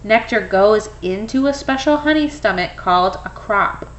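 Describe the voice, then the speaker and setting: A woman reading a children's picture book aloud in an expressive, storytelling way. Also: mid-range voice, normal speed, dull audio. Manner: expressive, acts out dialogue. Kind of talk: animated children's read-aloud.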